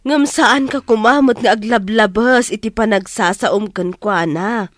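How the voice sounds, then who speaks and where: Slow, measured, wise aunt played by an actress. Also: female voice, a little high, no room sound, clean studio sound, close to an everyday voice. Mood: firm, pleading. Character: calm, wise middle-aged aunt, gently firm advisor. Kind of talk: Filipino radio drama acting.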